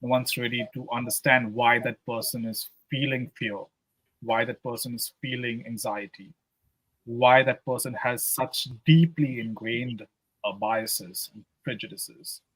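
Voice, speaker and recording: A man hosts a radio panel discussion, speaking conversationally and stumbling over fillers as he gathers his thoughts. His voice is a little husky. It is recorded dry and close, with no room sound. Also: mid-range voice, fairly even intonation, unhurried, a bit bright, dry recording, rough recording.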